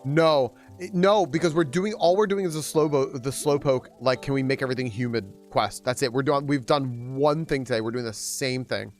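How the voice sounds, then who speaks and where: A man chatting casually on a live stream while playing a game. He rambles with many restarts and jumps up in pitch whenever he greets viewers. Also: moderate pace, few pauses, faint music behind.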